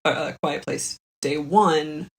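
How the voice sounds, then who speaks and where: Lower-pitched woman in casual conversation. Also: slow pace, vocal fry. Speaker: podcast guest, comedian. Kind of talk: casual chat about films.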